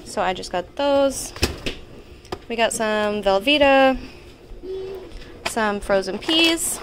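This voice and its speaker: A woman in her thirties speaks in a fairly high voice. She chats informally, listing items as a vlog host. There is some household noise behind her. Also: lively intonation, slow. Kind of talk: casual chatty grocery haul narration. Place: indoors in a home kitchen.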